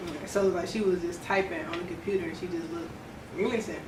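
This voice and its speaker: A woman's voice: lowish voice, fairly even intonation, fast talker. A young American woman telling stories in a casual, conversational way. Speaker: guest storyteller. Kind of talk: casual storytelling chat. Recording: roomy, noisy, distant mic.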